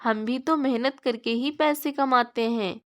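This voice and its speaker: A woman voicing a gentle, practical mother in a cartoon story. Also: a little high, measured pace, close to an everyday voice. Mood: patient.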